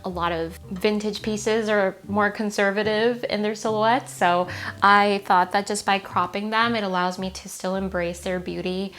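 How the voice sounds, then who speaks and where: A woman's voice: medium pitch, fairly even intonation, normal speed, a bit bright. A young woman in her twenties chatting upbeat to camera in her small home sewing studio, her voice a little worn. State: slightly losing her voice. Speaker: sewing vlogger. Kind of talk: casual vlog talk to camera.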